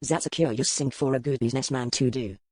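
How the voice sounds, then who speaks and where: A man playing a smooth, probing French merchant with a French accent in a historical romance audiobook. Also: medium pitch, fast, a little mellow, no echo, close to an everyday voice. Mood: probing, persuasive. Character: suave, probing French merchant in colonial port. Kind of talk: dramatised audiobook dialogue.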